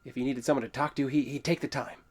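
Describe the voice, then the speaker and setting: A man's voice: mid-range voice, fairly even intonation, quick pace, a little mellow, close to an everyday voice. An actor playing an earnest, touchy man exploring an old building, stammering a little in naturalistic audio drama dialogue. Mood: earnest storytelling. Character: earnest, touchy male urban explorer telling local legends. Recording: echoey hollow room sound.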